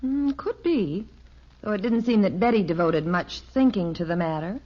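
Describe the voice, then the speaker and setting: An actress playing a mild, gently skeptical wife and mother in a 1950s radio sitcom, unhurried in manner. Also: mid-range voice, lively intonation, lightly acted. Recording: muffled 1950s radio recording.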